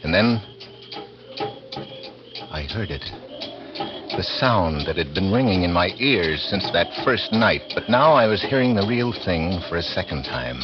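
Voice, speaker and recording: An American actor playing a shrewd, calm blind detective captain in a 1940s radio drama, measured, dry and wry. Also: male voice, low-pitched. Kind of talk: 1940s radio drama acting and first-person narration. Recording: muffled vintage 1940s radio, music behind.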